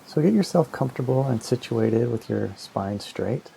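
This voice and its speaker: A man leading a guided meditation in a slow, soothing, hypnotic manner, with long pauses between short phrases. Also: mid-range voice, expressive, vocal fry, mellow, a little hiss or hum, poor audio. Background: ambient new-age music with singing bowls.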